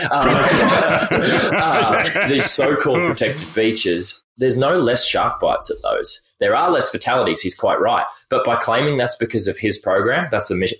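Deep-voiced indignant male podcast host. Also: fairly bright tone, reverberant. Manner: emphatic, indignant. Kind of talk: casual podcast chat.

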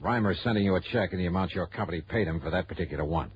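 An American actor playing a wry, sardonic insurance investigator in a 1960s radio detective drama, with clipped slangy talk. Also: male voice, deep voice, racing, background noise, lightly acted. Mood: businesslike. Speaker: lead actor and narrator. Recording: dull old radio broadcast recording.

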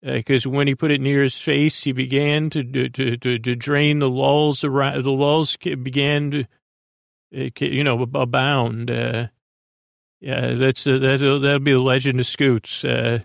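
A man with a creaky voice hosting a sleep podcast, meandering through a soothing, hesitant bedtime monologue full of tangents. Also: mid-range voice, little pitch movement, a little slow, no room sound, studio quality. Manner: soothing, lulling delivery. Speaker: podcast host.

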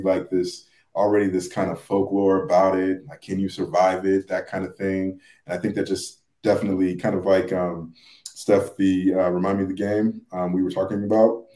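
A man in casual discussion on a podcast, thinking aloud in a rambling, halting way. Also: low voice, warm tone. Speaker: podcast panelist. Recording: roomy, echoing sound.

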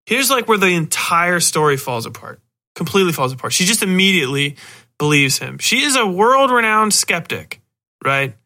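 A man's voice: sits high, big pitch swings, normal speed, pushed and bright. A man hosting a podcast, giving a casual, rambling review in a sardonic, exasperated way. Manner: sardonic, exasperated, mockingly acts out lines. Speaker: podcast host.

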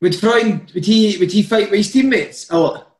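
A man's voice: high-pitched, moderate pace, darkish tone. A man working as an interviewer, asking casual questions about football, recorded with a roomy, echoey sound.

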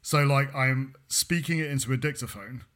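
A man's voice: mid-range voice, moderate pace, fairly bright tone. A young man from England with an English accent, co-hosting a casual podcast chat and rambling through many restarts. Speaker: podcast co-host.

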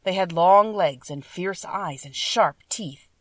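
A woman's voice: lowish voice, slow pace, dry recording, distant mic. A woman reading a children's story aloud as a scripted audiobook narration.

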